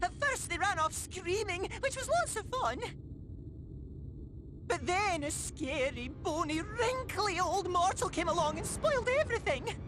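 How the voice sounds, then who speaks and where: A very high, wildly swooping little pixie, childish and mischievous. She speaks with sing-song excitability, like a playful game fairy. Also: unhurried, noisy background, heavily acted. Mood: gleeful. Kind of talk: video game cutscene acting.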